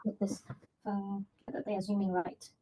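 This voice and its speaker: A woman's voice: medium pitch, flat delivery, normal speed, mellow, a little hiss or hum, poor audio. Young woman, hesitant and conversational. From Vietnam. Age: 20s. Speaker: English student, data scientist. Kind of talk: casual conversational answers in an online lesson.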